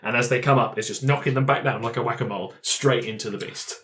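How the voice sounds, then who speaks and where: A man's voice: mid-range voice, quick pace, a bit bright, slight background noise. A man playing a tabletop roleplaying game, improvising story action with friends in a casual, playful way.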